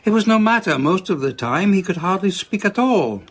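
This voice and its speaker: An actor playing an earnest, proud doctor in a radio drama, speaking formally and emphatically. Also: male voice, sits high, lively intonation, normal speed, a bit bright. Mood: solemn recounting. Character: earnest foreign male doctor, proud of his reputation.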